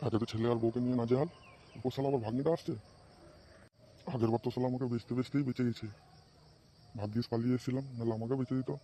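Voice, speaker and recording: A man voicing a grumpy, stingy, middle-aged cartoon father who gruffly scolds and curses. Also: mid-range voice, steady tone, rapid-fire, pauses often, mellow, close to an everyday voice. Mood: grumbling. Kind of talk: amateur comic cartoon dubbing. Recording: muffled, faint background noise.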